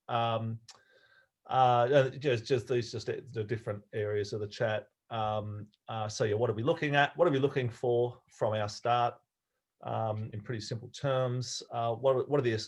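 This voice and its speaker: An Australian man talking over a video call in an easygoing, rambling way, circling back and restarting his sentences as he goes. His voice sits at an ordinary middle pitch for a man. He sounds like a guest speaker chatting informally with a club.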